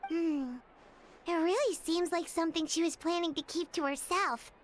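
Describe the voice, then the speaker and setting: Small floating creature, eager, squeaky, very high voice, loud background noise. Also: female voice, steady tone, normal speed. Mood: curious. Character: tiny chirpy floating companion creature.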